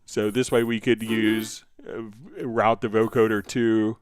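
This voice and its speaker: A man hosting a casual software tutorial, thinking aloud and hesitating as he demonstrates. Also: lowish voice, little pitch movement, a little slow, faint music behind. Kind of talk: casual screen-share software tutorial, live stream.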